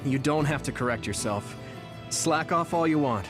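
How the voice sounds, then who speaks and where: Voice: mid-range voice, expressive, normal speed, close to an everyday voice. An actor playing an earnest, caring teenage boy hero in an English anime game dub. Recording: music behind the voice.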